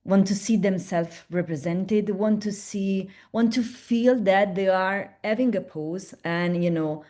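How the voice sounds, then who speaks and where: A woman's voice: mid-range voice, unhurried, few pauses, mellow. A woman from Italy with an Italian accent, presenting in a webinar in a chatty way, with frequent restarts and tag questions. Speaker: platform representative presenting at a webinar.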